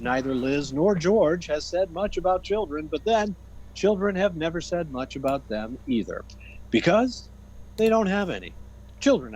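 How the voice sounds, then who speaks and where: A man's voice: a little high, a little mellow, a little hiss or hum, poor audio. A man narrating a scripted radio comedy as its theatrical announcer.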